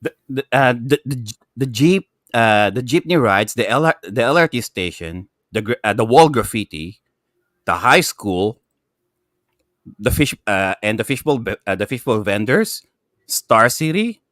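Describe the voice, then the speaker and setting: A man with a Filipino accent chatting casually as a gaming show panelist, excitable and rambling, often repeating himself. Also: mid-range voice, a little slow, no echo, studio quality. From Philippines. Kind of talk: casual chat.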